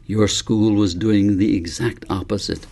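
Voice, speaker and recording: A man reading the part of a wise old grandfather in a storybook, calm and patient, explaining things in a measured, philosophical way. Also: lowish voice. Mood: firm, wise.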